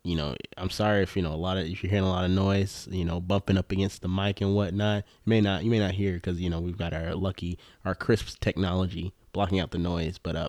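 Deep-voiced joking podcast co-host, man. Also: a little mellow, no room sound, clean studio sound. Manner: joking, rambling with fillers. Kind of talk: casual podcast chat.

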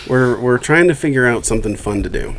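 Fast-talking joking male podcast host. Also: mid-range voice, lively intonation, a bit bright, a little hiss or hum. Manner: joking, self-deprecating, loose with swearing. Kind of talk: casual chat.

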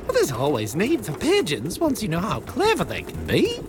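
A woman playing an excitable, chatty, theatrical young pigeon lover in an audio drama, gushing and easily flustered. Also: lowish voice, moderate pace, music in the background. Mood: excited.

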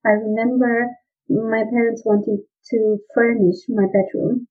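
A woman's voice: a little high, little pitch movement, unhurried. A woman from Romania, a guest on an interview, explaining sales mindset in an earnest way. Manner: earnest, explanatory, laughs lightly at times. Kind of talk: conversational interview. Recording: husky voice over a tinny phone-like line.

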